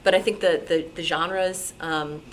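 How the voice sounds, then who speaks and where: A woman's voice: low voice, steady tone, unhurried. An American woman speaking informally and enthusiastically at a club meeting, answering questions as a radio programmer. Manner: enthusiastic, conversational. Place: club meeting, live room. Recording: noisy live meeting-room recording.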